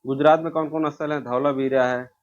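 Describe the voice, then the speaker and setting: A man's voice: fairly high pitch, fairly even intonation, fairly quick, highs cut off. A man from India teaches an informal exam revision lesson, posing questions, answering them himself and repeating key answers.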